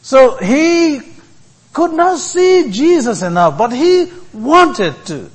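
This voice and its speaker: A man giving a sermon as a priest, speaking in short, deliberate phrases with many pauses. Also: extremely high pitch, very animated, slightly rough.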